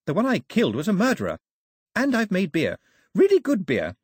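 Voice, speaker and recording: Headstrong young woman read by a man, high voice, passionate, emphatic, husky, dry sound. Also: wildly swooping pitch, a little fast, dry recording, full character voice. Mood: defiant. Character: headstrong, fiery young woman who speaks her mind.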